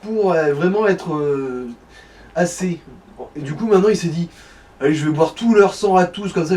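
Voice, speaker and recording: A man with a voice a bit higher than average comments casually on a game as someone plays. It is relaxed co-commentary, recorded with faint noise in the background. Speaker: let's play co-commentator.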